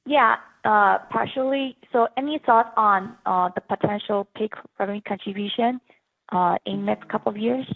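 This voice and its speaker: A woman's voice: medium pitch, moderate pace, gravelly. A woman, a financial analyst, politely and hesitantly asking questions on an earnings call over the phone. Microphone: telephone. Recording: narrow phone-line sound.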